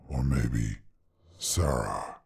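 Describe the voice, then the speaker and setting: An aged stone gargoyle from a storybook, murmuring in a very husky, dark voice. He is weary and gentle, speaking in halting, wistful fragments as if his throat were turning to rock. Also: exaggerated voice. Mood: musing. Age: older. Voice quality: husky, gravelly low growl.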